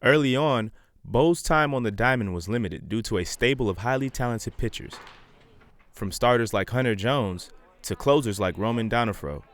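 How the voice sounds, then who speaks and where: A young man narrates a college sports feature in a measured, slightly dramatic read. His voice sits in an ordinary middle pitch. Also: lively intonation. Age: young adult. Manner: measured, dramatic voice-over delivery. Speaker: student sports reporter.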